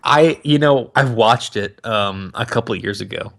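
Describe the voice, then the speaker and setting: A man co-hosting a podcast in casual, joking banter, animated and quick to swear. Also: mid-range voice, vocal fry. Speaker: podcast co-host.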